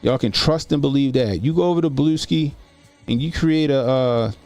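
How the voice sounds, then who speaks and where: A man's voice: medium pitch, moderate pace, darkish tone, faint music behind. A man in his forties doing casual livestream commentary as a YouTube host, talking informally and repeating phrases for emphasis. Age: 40s.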